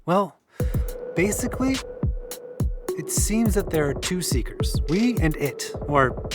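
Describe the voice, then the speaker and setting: There is clear music behind an actor as a male amateur researcher in an audio drama. He sounds earnest and a bit defensive, explaining in careful words in a slightly high voice. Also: very animated, slow, heavily acted.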